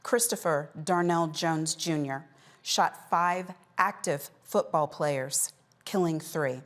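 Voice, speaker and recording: A woman news anchor reading scripted news in a measured, formal delivery. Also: low voice.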